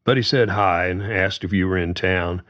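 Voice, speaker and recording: A man's voice: low voice, steady tone, normal speed, fairly bright tone, dull audio. A man voicing a middle-aged father who talks in a plain, protective way.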